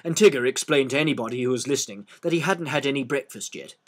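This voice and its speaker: A man reading a children's story aloud as an expressive narrator. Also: mid-range voice, quick pace.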